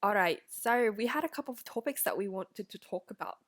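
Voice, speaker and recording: A woman's voice: mid-range voice, expressive. Giggly young woman co-hosting a podcast. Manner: giggly, playful, quick to laugh. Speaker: podcast co-host. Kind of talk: casual chat.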